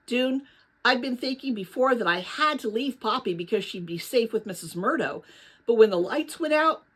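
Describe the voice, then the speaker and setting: A woman's voice: mid-range voice, close to an everyday voice. A woman voicing an earnest, anxious and determined young girl in a storybook, with an urgent, emphatic delivery.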